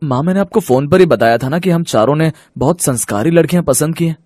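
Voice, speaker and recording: A man's voice: fairly high pitch, fast, darkish tone, no echo, studio quality, natural voice. An actor playing an indignant, fair-minded family man in a Hindi moral story, earnest and emphatic. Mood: protesting. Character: indignant, fair-minded father and his grown sons.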